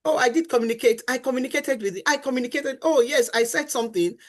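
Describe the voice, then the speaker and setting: A middle-aged woman with a fairly high voice teaches about marriage on a faith-based talk show. She is earnest and emphatic, circling back to her main points. She acts out the complaints of husbands and wives as she goes. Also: quick pace. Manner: earnest and emphatic, repeating key phrases and acting out spouses' complaints.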